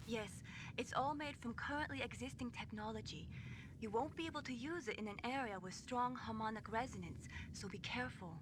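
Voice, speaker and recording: A woman's voice: a little fast, natural voice. An actress playing an earnest, helpful young female technician in a video game, explaining things precisely. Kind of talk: scripted video game voice acting. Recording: clearly noisy game audio with low rumble.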